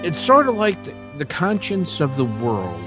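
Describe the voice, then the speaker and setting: Guy acting a solemn indignant old west townsman, radio drama, emphatic and expressive, fiddle music under him. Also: fairly high pitch, normal speed. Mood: grave, impassioned.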